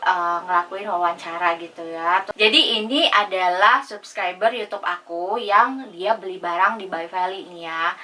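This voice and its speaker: A woman's voice: medium pitch, bright, forceful tone, distant mic, rough recording. A woman talking casually and informally as a YouTube host, explaining things in a chatty way. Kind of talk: casual vlog explainer, reading out chat messages.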